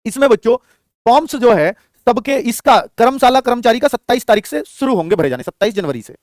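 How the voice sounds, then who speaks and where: A man's voice: very high-pitched, animated, fast talker, no room sound, studio quality. A man teaching exam students, explaining in a familiar way and often answering his own questions. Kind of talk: lesson on job vacancies addressed to students.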